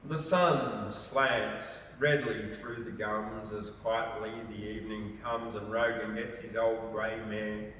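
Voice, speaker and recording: A man gives a theatrical, expressive dramatic recitation of poetry as a storyteller. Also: on the low side, takes it slowly, few pauses, slight background noise. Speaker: poetry reader. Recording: very echoey, reverberant, distant from mic.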